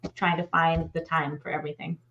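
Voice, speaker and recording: A woman's voice: low-pitched, little pitch movement, brisk, a bit bright. A woman in her forties co-hosting a casual panel chat, speaking in an earnest, emphatic way over a video call. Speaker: co-host of a pop culture live show. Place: home room, indoors, video call. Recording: dull video-call sound, clear noise.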